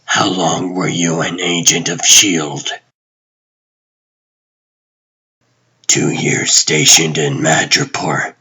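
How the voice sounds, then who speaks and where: A man voicing a guarded, commanding TV producer who is secretly a superhero in a comic dub, speaking tersely and deliberately in a husky, rough voice. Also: a bass voice, flat delivery, bright, forceful tone, heavily acted. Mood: probing, terse.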